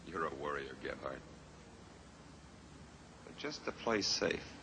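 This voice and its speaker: A sly cousin villain in a vintage radio play, scheming, with a very deep voice. Clear hiss fills the old recording behind him. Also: male voice, animated, slow pace, full character voice. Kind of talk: 1950s radio drama acting.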